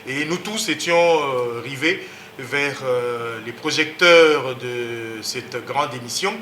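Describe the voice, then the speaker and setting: A man's voice: mid-range voice, slow pace, bright, forceful tone, slight background noise. A man hosting a TV talk show, speaking emphatically and pushing up on key words, with hesitant fillers. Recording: roomy studio echo.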